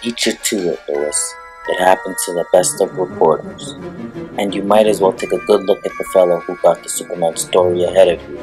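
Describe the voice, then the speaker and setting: An actor playing an unruffled newspaper boss in a superhero comic drama, blunt and matter-of-fact. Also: male voice, deep voice, a little fast, lightly acted, low-quality recording. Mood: dismissive. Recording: music behind the voice.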